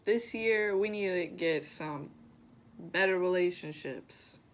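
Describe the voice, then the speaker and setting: A woman's voice: fairly low pitch, slow pace. A woman YouTuber giving blunt, emphatic advice to the camera. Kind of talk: motivational talk to camera.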